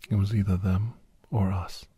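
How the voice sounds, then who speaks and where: Husky, low, half-whispering weary storyteller played by a man. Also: level tone, normal speed, mellow, no echo. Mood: somber, reflective. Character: weary, wry doctor recounting his adventures as a guardian. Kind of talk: ASMR scripted storytelling. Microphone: close, dry microphone.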